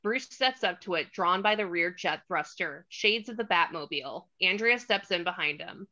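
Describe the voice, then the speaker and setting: A woman's voice: on the low side, lively intonation, moderate pace, pushed and bright, muffled recording. A woman reading screenplay directions aloud as scripted narration for a table read.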